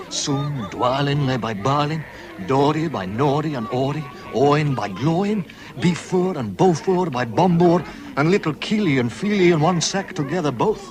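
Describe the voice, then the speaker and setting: A man's voice: fairly high pitch, natural voice. A man narrating a scripted story in an audio drama.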